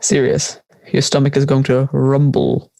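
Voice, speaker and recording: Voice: mid-range voice, completely flat delivery, unhurried, vocal fry, warm tone, no echo, clean studio sound. A teenage performer playing a carefree, teasing school friend in conversational audio drama dialogue. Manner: laid-back, teasing.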